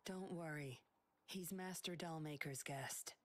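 A woman's voice: low voice, takes it slowly. A woman playing a cool, self-assured, persuasive game character with a composed, coaxing manner. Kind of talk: video game voice acting.

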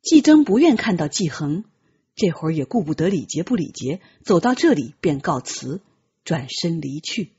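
Woman, audiobook narrator, dramatic scripted reading, lively swooping intonation, soft husky voice, slightly lo-fi recording. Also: fairly low pitch. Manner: measured, expressive storytelling.

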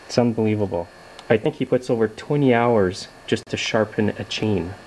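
A young man hosting a casual show-and-tell video in his garage workshop, explaining in a relaxed, plain-spoken way. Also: on the low side, normal speed, slight background noise, rough recording. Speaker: video host. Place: home garage workshop, indoors.

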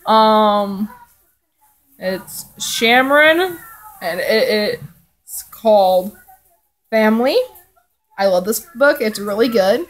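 A woman's voice: medium pitch, animated, slow pace, pauses often, poor audio. A young woman vlogger chatting casually and reading fan fiction in a rambling, giggly way. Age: young adult. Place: living room indoors.

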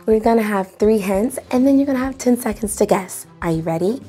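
A woman's voice: mid-range voice, expressive, fairly quick, dark tone, breathy edge. Young female kids' presenter, encouraging and instructive, speaking directly to children over light music. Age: young adult. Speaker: children's storytime host. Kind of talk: lively instruction and read-aloud for young children.

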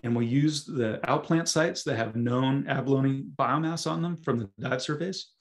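Hoarse man giving a halting talk. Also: mid-range voice. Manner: halting, many ums and restarts. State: hoarse, losing his voice. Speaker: guest speaker, scientist. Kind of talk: webinar presentation.

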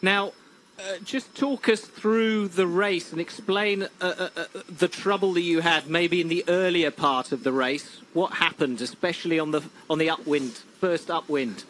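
A man presenting a sailing broadcast, commenting on the race and interviewing athletes in a brisk, chatty way. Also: on the high side, fairly bright tone, slight background noise, rough recording.

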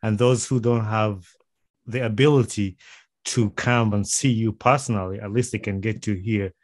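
Low-voiced Ugandan man hosting a podcast. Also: steady tone, normal speed, dry recording. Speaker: podcast host. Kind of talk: casual interview chat. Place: small home studio, indoors. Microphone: close podcast microphone on boom arm.

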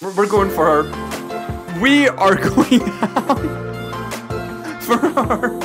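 Man in his thirties, reaction streamer, casual, flabbergasted, animated pitch, drawn-out pace, close mic, music behind. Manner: flabbergasted, repetitive exclamations. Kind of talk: casual excited trailer reaction. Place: small home streaming room. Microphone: close desk streaming microphone with headset. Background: game trailer music.

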